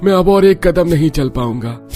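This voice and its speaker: Man acting a poor villager, higher-pitched, exhausted, complaining, cartoon story, faint music. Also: lively intonation, normal speed, darkish tone, natural voice. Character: exhausted poor villager carrying grain in the heat.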